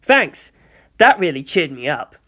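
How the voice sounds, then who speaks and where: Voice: mid-range voice, very animated, unhurried, sharp tone, exaggerated voice. A narrator voicing an earnest, lovelorn teenage boy who doubts himself. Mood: sullen.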